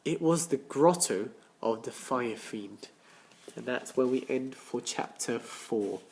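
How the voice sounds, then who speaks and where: A man reading a children's story aloud as a teacher, with deliberate dramatic emphasis and questions to his listeners. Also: medium pitch, animated, gravelly, a little mellow, poor audio. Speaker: primary school teacher.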